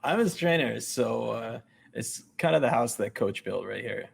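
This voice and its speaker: A man's voice: medium pitch, lively intonation, normal speed, creaky, husky, exaggerated voice. A man reading the part of a bossy, blunt fitness trainer at a sitcom table read. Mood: matter-of-fact.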